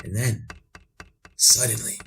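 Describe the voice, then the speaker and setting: An actor playing a tormented man who narrates his descent into madness in a halting, stammering radio horror style. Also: slight background noise, close to an everyday voice. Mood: frantic, bewildered. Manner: halting, stammering, overwrought, repeating himself. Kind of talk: old-time radio horror drama acting.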